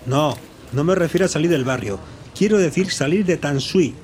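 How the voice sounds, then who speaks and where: A man acting a resolute, warm-hearted adventurer in an audio drama. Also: a little high, animated, moderate pace, slight background noise, natural voice. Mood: urgent, determined. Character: Flynn, resolute, warm-hearted adventurer fleeing a computer world. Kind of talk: Spanish audio fiction acting.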